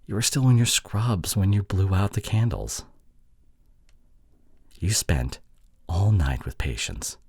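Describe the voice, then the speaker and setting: A very low-voiced young boyfriend, acted by a man in an intimate roleplay audio drama. He is sheepish and affectionate, pleading softly in a breathy, halting way, and his pitch moves around a great deal. The sound is close and very dry. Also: no echo, heavily acted. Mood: apologetic, tender. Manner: soft, halting, pleading delivery with breathy drops toward a whisper.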